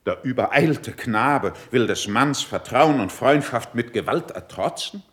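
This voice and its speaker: A mature, haughty courtier in a classical verse radio drama. His delivery is measured and cutting, with very lively pitch movement that sharpens the condescension. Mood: condescending. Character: haughty, mature male courtier, cool, sarcastic rival.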